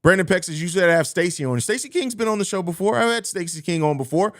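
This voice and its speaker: Fan-talk host with a slightly high voice. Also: male voice, quick pace. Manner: conversational, often ending phrases with "man"; excitable sports fan talk. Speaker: podcast host. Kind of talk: casual live-stream reaction, reading viewer chat.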